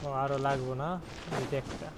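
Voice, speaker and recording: A game streamer making quick, offhand remarks while playing live. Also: medium pitch, completely flat delivery, heavy background noise. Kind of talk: casual live stream commentary. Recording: game music loud behind the voice.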